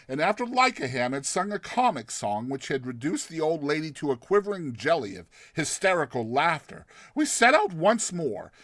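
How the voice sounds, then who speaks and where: A man narrating a literary short story in a theatrical, expressive storytelling manner. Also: fairly high pitch, no echo, clean studio sound.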